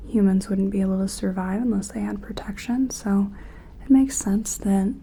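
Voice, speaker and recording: A woman performing a gentle ASMR roleplay as an examiner, soft-spoken and often whispering, explaining things in a tentative, clinical way. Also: medium pitch, moderate pace, vocal fry, a little hiss or hum.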